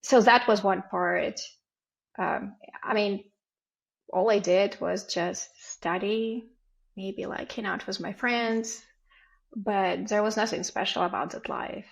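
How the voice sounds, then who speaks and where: A woman's voice: mid-range voice, fairly even intonation, slow pace, vocal fry, poor audio. A woman in her forties with a Ukrainian accent, a podcast guest telling stories in casual chat and acting out family exchanges. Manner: storytelling, acts out family dialogue.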